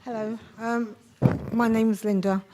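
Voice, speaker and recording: A woman's voice: a little high, flat delivery, takes it slowly, noisy background. A woman from Nigeria, a nurse, introducing herself at a seminar; shy and hesitant, tired after a night shift. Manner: shy, hesitant, many ums. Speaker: nurse and community association secretary. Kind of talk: speaking in a seminar introduction round.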